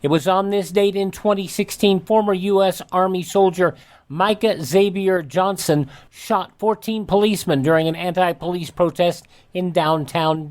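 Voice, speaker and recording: A man's voice: a little high, a little mellow. An American man hosting a radio program, reading scripted narration with brief off-the-cuff asides. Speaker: radio show host.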